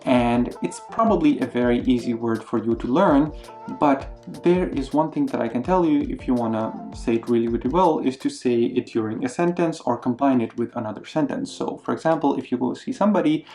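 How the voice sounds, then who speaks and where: A young man giving casual, upbeat language tips, now and then acting out greetings with big jumps in pitch. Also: brisk. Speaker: language learning vlogger. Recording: soft music underneath.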